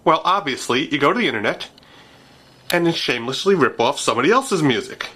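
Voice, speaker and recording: A man's voice: on the high side, expressive, a little fast, pushed and bright, slightly rough. Nasal male narrator, wry and self-deprecating, for a home-made tutorial voice-over. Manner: wry, joking. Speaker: video creator.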